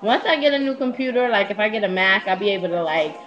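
A woman's voice: medium pitch, animated, quick pace. A woman in her twenties telling personal stories casually to her webcam, candid, slangy and freely swearing, recorded on a small netbook mic. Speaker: vlogger. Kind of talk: casual confessional storytelling to webcam. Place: small indoor room at home. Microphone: netbook's built-in webcam mic. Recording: dull lo-fi webcam audio.

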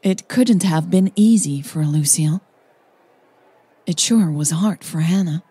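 Slow, husky-voiced woman narrating. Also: fairly low pitch, warm tone, no room sound. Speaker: podcast host and narrator. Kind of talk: scripted narration of a romance story.

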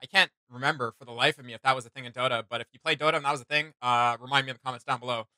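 Young sounding guy doing casual reaction commentary, super bright voice, pretty dry room sound. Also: medium pitch, fairly even intonation, rapid-fire, dry recording. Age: young adult. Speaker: reaction video host. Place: small indoor home studio room.

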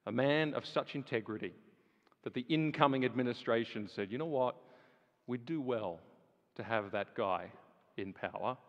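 A man preaching a sermon, firing rhetorical questions and swinging from loud emphasis to hushed lines. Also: a little high, lo-fi sound. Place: large church hall. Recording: long reverb of a large hall.

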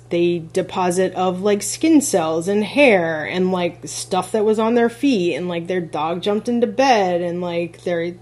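A woman's voice: mid-range voice, a little mellow. A woman co-hosting a podcast, talking casually and chattily with lots of fillers and small laughs. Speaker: podcast co-host, rental host.

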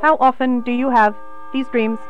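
A woman's voice: fairly high pitch, steady tone, moderate pace, lo-fi sound, music in the background, lightly acted. A woman acting in a radio play as a formal, insistent saleswoman and interrogator with stilted phrasing. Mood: probing. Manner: formal, stilted, avoiding contractions.

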